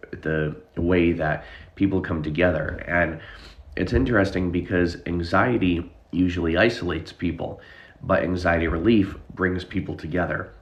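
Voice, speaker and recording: A man's voice: low-pitched, lo-fi sound. A man with a nasal, congested voice from a chest cough, talking casually on a live stream as an anxiety therapist, full of fillers and restarts. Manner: rambling, many fillers and restarts. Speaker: anxiety relief therapist and hypnotist.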